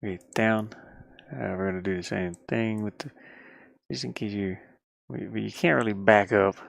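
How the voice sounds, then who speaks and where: A tired man thinking aloud casually as he works on a game, muttering to himself and grumbling at the software into a headset mic. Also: on the low side, fairly even intonation, moderate pace, gravelly, no echo. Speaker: indie game developer working on camera. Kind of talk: casual thinking-aloud screen-work stream.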